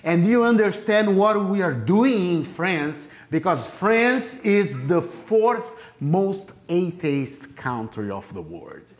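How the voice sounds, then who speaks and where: A man's voice: sits high, very animated, slow pace, few pauses. A man from Brazil, whose first language is Portuguese, speaking English with a Brazilian Portuguese accent as a missionary pastor giving a church presentation with self-deprecating jokes.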